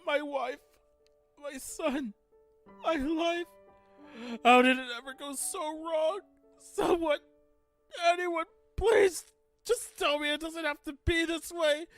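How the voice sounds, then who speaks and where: A man's voice: very high-pitched, takes it slowly, long pauses, a bit bright, exaggerated voice. A man playing a desperate, bitter middle-aged father in a fan audio drama, melodramatic and indignant. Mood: desperate pleading. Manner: outraged, pleading, melodramatic. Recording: music under the voice.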